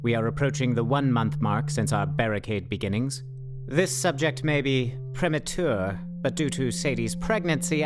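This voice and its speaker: A man acting as a socially awkward know-it-all in a radio drama, with a middling male pitch. He talks in stiff, formal, wordy sentences, like entries in an official log, with music clearly playing underneath. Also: natural voice. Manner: stilted, formal, over-wordy delivery.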